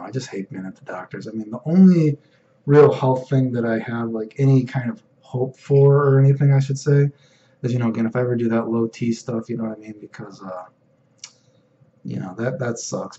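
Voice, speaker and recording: A young man with a deep voice, rambling casually in a personal vlog, full of fillers and "you know what I mean.". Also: dark tone, dull audio, a little hiss or hum. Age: young adult. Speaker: vlogger.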